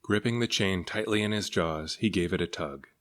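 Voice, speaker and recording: A man with a low-pitched voice narrates a children's picture book. He reads it aloud as scripted storytelling, his voice sitting well down in the male range. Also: normal speed. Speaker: storybook reader.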